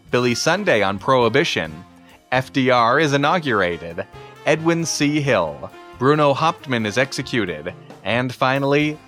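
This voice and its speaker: A man's voice: medium pitch, a bit bright. A man presenting a vintage record, reading out its track listing in an introduction.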